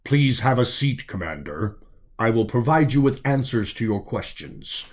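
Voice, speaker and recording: A man voicing a calm, commanding male officer in an audiobook, measured and reassuring as he speaks over the comms. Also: medium pitch. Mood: firm, commanding. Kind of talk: dramatic audiobook character voice.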